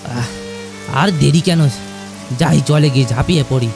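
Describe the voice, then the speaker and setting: A man's voice: a little high, normal speed, vocal fry, over music. A man voicing a poor, self-pitying fisherman in a fairy-tale cartoon, lamenting and hesitant. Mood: despairing.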